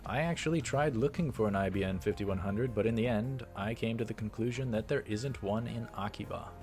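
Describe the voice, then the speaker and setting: A man's voice: fairly low pitch, a little fast, a little mellow, soft background music, lightly acted. A man playing a wary, exasperated young man in a visual novel, musing in a guarded way.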